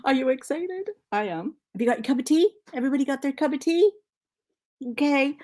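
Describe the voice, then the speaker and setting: A middle-aged woman from Liverpool with a Canadian accent and faint Liverpool traces, hosting a casual knitting live stream and chatting excitedly with many fillers. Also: sits high, a little fast. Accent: Canadian English with faint Liverpool traces. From Liverpool, England. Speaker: knitting channel host. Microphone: laptop mic.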